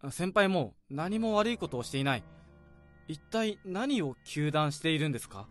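A man's voice: a little high, sing-song, normal speed, a bit bright, faint music behind. An actor playing an earnest young male office junior who keeps questioning, in a radio drama.

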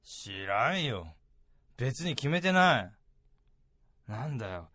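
A young man acting a hearty, easygoing salaryman mentor with casual, rough speech in a radio drama. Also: medium pitch, big pitch swings, slow pace, bright, forceful tone, dry recording, clean studio sound, exaggerated voice. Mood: drunk, grumbling. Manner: casual, rough, blokey senior-to-junior speech. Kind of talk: radio drama acting with first-person narration. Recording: music behind the voice.

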